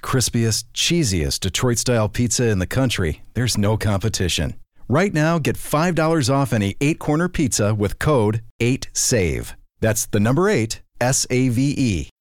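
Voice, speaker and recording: A man's voice: mid-range voice, dry recording, clean studio sound. A man reading scripted advertising copy as an announcer. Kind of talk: scripted ad read.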